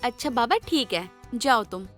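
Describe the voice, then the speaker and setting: An outspoken young wife in an audio story drama, acted by a woman. Her high voice swings expressively up and down, over background music. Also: expressive, normal speed, a bit bright. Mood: complaining. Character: outspoken, feisty young wife quick to complain. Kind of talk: Hindi moral-story audio drama acting.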